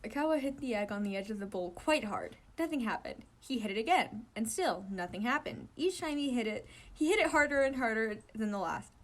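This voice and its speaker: A woman reading fan fiction aloud as an amateur narrator, giggly and animated, with casual asides. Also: a little high, fairly quick, hardly any pauses.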